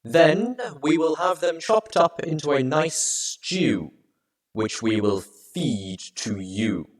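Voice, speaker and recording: A jaded, haughty villainess of a pony mare, drawling slowly and grandly. The voice is pushed up high and swoops theatrically, taunting with mocking contempt in storybook fashion. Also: male voice, expressive, slight background noise. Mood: cruel, haughty.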